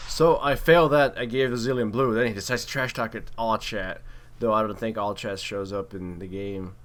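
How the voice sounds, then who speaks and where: A man's voice: fairly low pitch, steady tone, moderate pace, fairly bright tone. A man giving casual, wry game commentary with some mild swearing and trash talk. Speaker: gaming video commentator and player. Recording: clearly noisy home recording.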